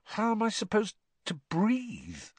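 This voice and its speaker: Male reader as teenage schoolboy, earnest, puzzled, hesitant, questioning, polite yet impatient, raised lively pitch, storybook character. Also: measured pace, dry recording, heavily acted. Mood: bewildered.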